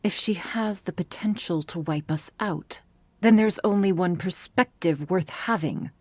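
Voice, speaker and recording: A woman's voice: lowish voice, a little slow, darkish tone, dry recording. A woman voicing a grave, worried vampire messenger in an ASMR roleplay, speaking in a stern, formal, urgent manner.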